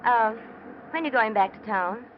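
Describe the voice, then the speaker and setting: Lively, coy American radio flirt. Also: female voice, fairly high pitch, unhurried. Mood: coy, insinuating. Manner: coy, needling, playful. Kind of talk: 1940s radio drama acting. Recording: muffled, noisy vintage radio broadcast.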